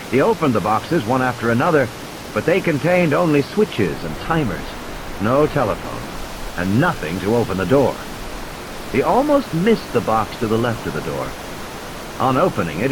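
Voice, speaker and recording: A man's voice: medium pitch, background noise. A man reading a scripted adventure story as a dramatic audiobook narrator. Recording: music and sound effects behind the voice. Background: music and background sound effects.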